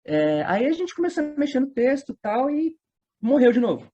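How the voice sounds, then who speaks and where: A man's voice: very high voice, a little fast, lo-fi sound. A Brazilian man chatting casually as an interview guest, telling stories in slangy speech and acting out what people said. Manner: animated storytelling, acting out quoted remarks in slangy speech. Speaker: guest, audio drama writer and voice-dubbing worker.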